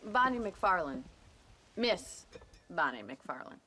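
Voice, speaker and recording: An actress playing a sardonic young ranch woman with an American accent in a western game, brisk and teasing. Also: fairly low pitch, big pitch swings, bright, forceful tone, breathy edge, heavily acted. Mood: sardonic, probing. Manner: brisk, teasing, dryly sarcastic. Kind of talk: video game cutscene acting.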